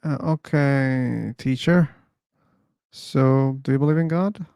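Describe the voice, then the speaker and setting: A man's voice: mid-range voice, takes it slowly, creaky, mellow, clean tone, dry recording, studio quality. A man hosting an online discussion about belief, asking probing, teasing questions. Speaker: host. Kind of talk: casual debate chat. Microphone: streaming setup.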